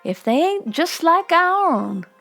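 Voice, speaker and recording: A woman's voice: very high voice, very animated, slow pace, creaky, a bit bright, soft background music, pitched above the performer's own voice, heavily acted. A woman voicing a kindly, curious laundress in an audiobook, with folksy dialect and wide-eyed exclamations. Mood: astonished, awed. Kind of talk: audiobook character voice.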